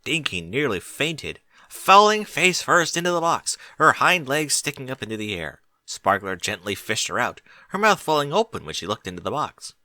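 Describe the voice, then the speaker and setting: A man reading a story as a scripted, expressive audiobook narrator. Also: medium pitch, moderate pace, hardly any pauses, dry recording.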